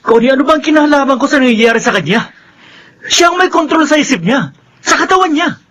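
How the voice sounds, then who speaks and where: A man's voice: extremely high pitch, lively intonation, a little fast, a bit bright, a little hiss or hum. An actor playing a defensive, irritated young man in a radio drama, confrontational and exasperated. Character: Ricky, defensive irritated young man who shirks responsibility. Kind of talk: Filipino radio drama acting.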